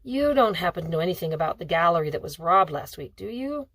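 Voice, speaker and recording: A woman's voice: lowish voice, animated, fast, fairly bright tone, no echo. Woman voicing a wary, questioning teenage daughter. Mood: suspicious. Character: earnest, probing teenage schoolgirl daughter of a thief. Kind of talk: audiobook character dialogue.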